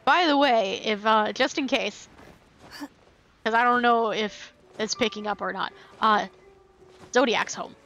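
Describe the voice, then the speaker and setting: Playful woman streamer with higher-pitched voice. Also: unhurried, fairly bright tone, dull audio. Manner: playful, wry asides. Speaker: game streamer. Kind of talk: casual live gameplay commentary. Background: faint game music.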